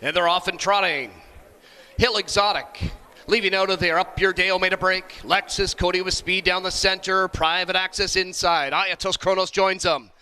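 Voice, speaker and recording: A man's voice: high voice, fairly even intonation, moderate pace, sharp tone, clear, resonant tone. A man calling a live horse race in clipped, urgent announcer style. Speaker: race caller.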